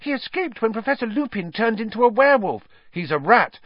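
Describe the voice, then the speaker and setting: A man's voice: very high voice, expressive, normal speed, no room sound, lo-fi sound, pitched above the performer's own voice. A man voicing an earnest thirteen-year-old schoolgirl, pleading urgently in an audiobook story.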